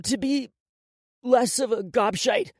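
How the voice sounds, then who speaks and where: Woman voicing a high-pitched frightened young boy. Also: slow pace, a little mellow, no room sound, studio quality. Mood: tearful, reluctant. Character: frightened twelve-year-old boy, cornered and defensive. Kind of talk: audiobook character voice.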